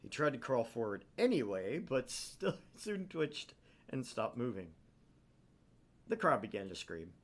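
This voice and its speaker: A man's voice: medium pitch, sing-song, unhurried. A man hosting a gaming channel, narrating a visual novel aloud with theatrical, irreverent casual asides.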